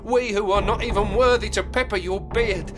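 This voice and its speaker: A woman's voice: mid-range voice, moderate pace, natural voice. A woman playing a humble, superstitious servant in an audio drama, urgent and deferential, with music behind her. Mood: urgent, pleading. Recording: music under the voice.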